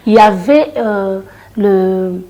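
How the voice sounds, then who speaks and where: A middle-aged woman from the Congo, a singer and storyteller, answering interview questions in a hesitant way, with many restarts and fillers, often trailing off quietly. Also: mid-range voice, slow pace. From Republic of the Congo.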